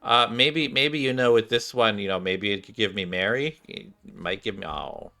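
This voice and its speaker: A man's voice: on the low side, normal speed, sharp tone. A man hosting a gaming video, giving casual, chatty commentary addressed straight to his viewers. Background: game music.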